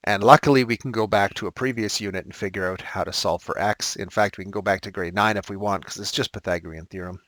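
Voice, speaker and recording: A man teaching a recorded math lesson, thinking aloud and correcting himself as he works problems, his voice slightly raspy. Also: on the low side, steady tone, quick pace, hardly any pauses, a bit bright, no room sound.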